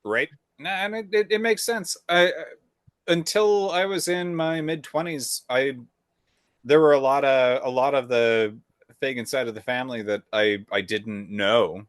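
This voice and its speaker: A man's voice: mid-range voice, fairly even intonation, measured pace, dry recording, studio quality. A man co-hosting a casual podcast, blunt and teasing, swearing casually. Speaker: podcast co-host. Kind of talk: casual chat.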